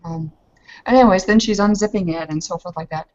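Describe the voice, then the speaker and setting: A woman's voice: fairly low pitch, a little fast, a little mellow. A woman improvising narration for a tabletop roleplaying game in a casual, hesitant way. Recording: muffled lo-fi voice recording.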